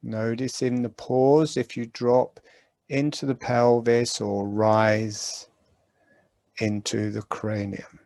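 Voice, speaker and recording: A man guiding a breathing meditation, giving calm, gentle instructions with long pauses. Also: medium pitch, dry recording. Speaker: meditation guide.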